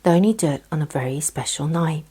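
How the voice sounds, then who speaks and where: Woman as a slow, kind old storybook tortoise. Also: low voice, warm tone, a little hiss or hum, natural voice. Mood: kindly, calm. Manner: slow, kindly, unhurried. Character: kind, calm old male tortoise, wise and gentle.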